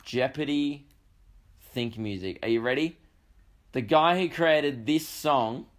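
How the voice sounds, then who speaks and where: A young Australian man hosting a podcast, talking in a croaky voice with a sweary, jokey, rambling manner. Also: mid-range voice, slow. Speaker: podcast host. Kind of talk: casual comedic monologue.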